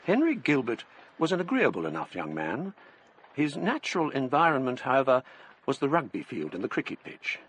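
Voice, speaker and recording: An actor playing a scholarly Englishman recounting a gothic tale from his past, in measured, formal radio narration with an English accent. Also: mid-range voice, huge pitch swings, a bit bright, breathy edge, exaggerated voice. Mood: measured, wry. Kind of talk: first-person literary radio narration.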